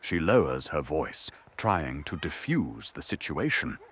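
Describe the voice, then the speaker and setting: A man with a gravelly, husky voice giving dramatic scripted narration for an audio drama. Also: very deep voice, big pitch swings, measured pace, a bit bright.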